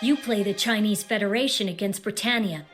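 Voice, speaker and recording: A woman's voice: medium pitch, brisk, natural voice. A woman playing a proud, bitter young exiled prince in an audio drama, cool, defiant and articulate. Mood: cold, accusing. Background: background music.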